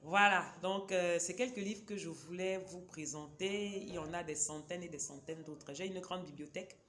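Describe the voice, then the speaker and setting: A woman's voice: on the low side, moderate pace, a bit bright, slight background noise. Chatty woman vlogger. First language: a Cameroonian local language. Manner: chatty, digressive, talks directly to viewers. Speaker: vlogger presenting books. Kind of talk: casual book-recommendation vlog.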